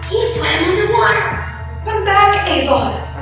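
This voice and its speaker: Very high, urgent young royal played by a woman. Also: expressive, measured pace. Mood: frantic. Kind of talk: animated-series radio play acting. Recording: very echoey hall with music.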